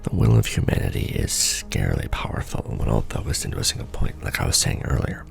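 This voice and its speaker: A man performing an ASMR roleplay as a seasoned, wry secret-society official, talking softly and casually with a gravelly, breathy undertone. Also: rumbling low, wildly swooping pitch. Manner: wry, casual, unhurried explaining. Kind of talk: ASMR roleplay monologue, soft conversational briefing.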